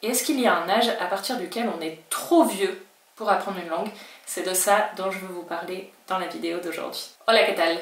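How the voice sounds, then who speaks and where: A woman's voice: lowish voice, fairly quick, echoey room, distant mic. Chatty French woman teaching Spanish. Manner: chatty, reassuring, acts out learners' worries in quotes. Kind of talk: talking-head language lesson.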